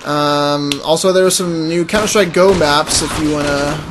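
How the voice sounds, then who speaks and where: A man's voice: a little high, steady tone, normal speed, a loud crowd. A man co-hosting a gaming podcast, giving news in a loose, informal way and commenting on the gameplay as it goes. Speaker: podcast co-host. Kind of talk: casual gaming news chat. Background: game music.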